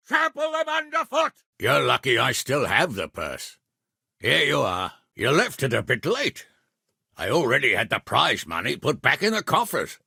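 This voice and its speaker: A man playing a blunt, hot-tempered middle-aged medieval lord in a video game, with a raspy, gravelly voice and an authoritative manner. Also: fairly high pitch, bright, forceful tone, no room sound, clean studio sound, exaggerated voice. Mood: battle shouting. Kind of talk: video game character acting.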